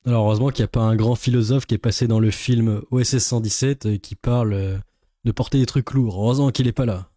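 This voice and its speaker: A man's voice: mid-range voice, steady tone, fast talker, mellow, no echo, studio quality. A man giving casual reaction commentary as a YouTuber, in a sarcastic, sceptical and rambling way.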